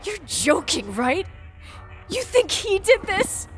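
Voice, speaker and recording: A woman's voice: very high-pitched, big pitch swings, takes it slowly, faint music behind, full character voice. An actress playing a strong-willed, defiant young woman in a video game, with an intense, emphatic, sweary delivery. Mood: outraged, hurt. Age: young adult. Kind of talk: naturalistic video game voice acting.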